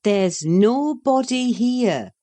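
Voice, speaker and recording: A woman reading an audiobook, voicing a steady, practical housekeeper who speaks firmly and soothingly. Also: on the high side, very slow, voice pushed up. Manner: firm, soothing.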